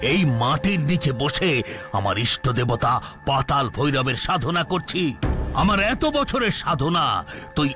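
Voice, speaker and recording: A furious, haughty forest hermit in a cartoon. His voice is high and swoops widely as he declaims and accuses, with music behind him. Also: big pitch swings, a little slow. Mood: furious, menacing. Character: wrathful proud hermit sage who lays a curse. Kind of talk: theatrical cartoon voice acting.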